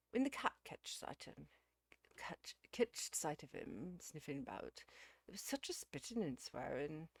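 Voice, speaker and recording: Woman doing a calm, rambling manservant. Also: deep voice, gravelly, no echo, heavily acted. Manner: calm, unhurried, colloquial storytelling. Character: tranquil, rambling working-class English hired man, male servant.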